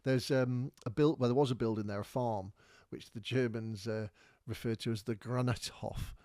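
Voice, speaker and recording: A man's voice: mid-range voice, fairly even intonation, normal speed, warm tone, dry recording. Chatty man with a dry sound. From North Wales. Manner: chatty, rambling, with many fillers and restarts. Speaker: podcast host. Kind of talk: casual storytelling while showing maps.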